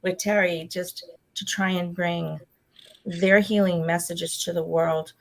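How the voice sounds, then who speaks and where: A woman's voice: on the low side, fairly even intonation, slow pace, low-quality recording. A woman hosting a casual video call chat, rambling and restarting her sentences. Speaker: host.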